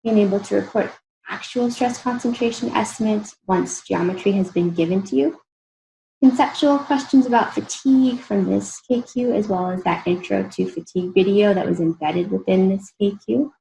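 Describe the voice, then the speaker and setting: A tired woman gives a recorded engineering lecture over slides, her voice gravelly and croaky at a middle pitch. She talks through each step plainly and casually, and a faint hiss sits under the recording. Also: normal speed, low-quality recording. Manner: explains step by step in a relaxed, informal way. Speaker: engineering instructor.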